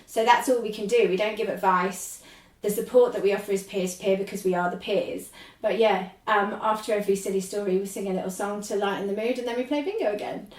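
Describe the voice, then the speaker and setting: A woman in her forties with a squeaky speaking voice, chatting as a podcast guest and rambling with lots of laughter. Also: fast talker, roomy sound, far from the mic, poor audio. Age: 40s. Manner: rambling, animated, laughs often. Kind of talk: casual chat.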